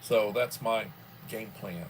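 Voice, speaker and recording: A man in his early sixties hosting a casual livestream from home, rambling and filling pauses often. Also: lowish voice, background noise. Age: 60s. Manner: rambling, with many fillers. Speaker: livestream host.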